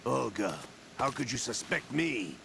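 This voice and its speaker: A man's voice: medium pitch, very animated, unhurried, background noise, exaggerated voice. An actor playing a scheming older male mercenary who talks in a cold, threatening way, in a video game cutscene. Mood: menacing. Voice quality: gravelly. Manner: sneering, threatening. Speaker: scheming veteran officer.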